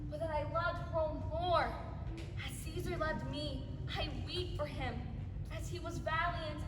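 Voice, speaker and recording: Girl doing proud earnest roman statesman in a stage tragedy, high voice, emphatic speechy pauses, way echoey. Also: background noise. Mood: solemn. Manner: declamatory, deliberate pauses, emphatic. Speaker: student stage actor. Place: theatre stage in a large hall, far camera. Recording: echoey hall from far off.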